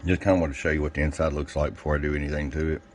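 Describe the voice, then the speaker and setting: Very deep-voiced man demonstrating gear. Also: flat delivery, rapid-fire. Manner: folksy, informal, technical. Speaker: radio technician and seller presenting a product video. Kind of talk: casual workbench product demonstration. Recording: clear background noise, room ambience.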